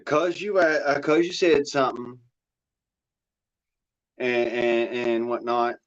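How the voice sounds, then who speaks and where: A man's voice: mid-range voice, level tone, slow pace. Folksy American man, dull lo-fi sound. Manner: blunt, folksy, profane. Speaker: livestream host. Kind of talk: rambling casual monologue. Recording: dull, lo-fi audio.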